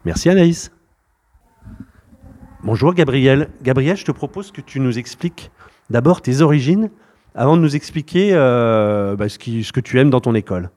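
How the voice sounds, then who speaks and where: A man of ordinary middle pitch interviews students at a school. He talks in a loose, unscripted way, stopping and starting and filling gaps with hesitations. His questions come out relaxed and slightly rambling. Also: moderate pace. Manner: loose and hesitant, with frequent fillers and restarts. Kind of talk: informal interview.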